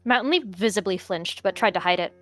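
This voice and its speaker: A woman softly narrating a cat roleplay story. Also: mid-range voice, fairly quick, soft background music. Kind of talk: roleplay storytelling with character voices.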